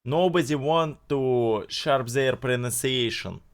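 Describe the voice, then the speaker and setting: Slow, halting non-native streamer man. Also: medium pitch. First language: not English. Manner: halting non-native English, many fillers. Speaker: streamer reacting to videos. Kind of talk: casual live-stream commentary.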